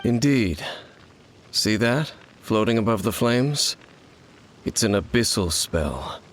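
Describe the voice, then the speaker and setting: A man playing an enigmatic, world-weary wanderer in a video game, speaking in a measured, solemn and aloof way. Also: lowish voice, expressive, a bit bright, slight background noise. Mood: composed, purposeful. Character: enigmatic, brooding, world-weary male wanderer of ancient knowledge.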